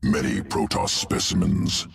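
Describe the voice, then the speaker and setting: A cold alien creature-scientist with an extremely deep, grinding gravelly voice, talking in slow, clipped fragments. The voice sits back from the mic with game music behind. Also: male voice, very animated, recorded from a distance, full character voice. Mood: clinical. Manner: clipped fragmented speech with no small words, detached.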